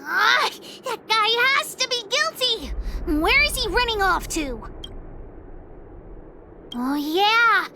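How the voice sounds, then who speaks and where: A woman voicing a bold, bossy, excitable little girl gang leader with a childish, blustering manner in a video game. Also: very high-pitched, takes it slowly, long pauses, very noisy, full character voice. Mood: excited. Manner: childish, blustering, speaks of herself by name. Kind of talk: video game character acting. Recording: music behind the voice.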